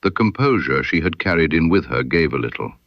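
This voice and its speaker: Male narrator for a period mystery audiobook: deep, grave, measured, with expressive rises and falls. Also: no room sound, dull audio. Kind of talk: scripted first-person mystery story narration.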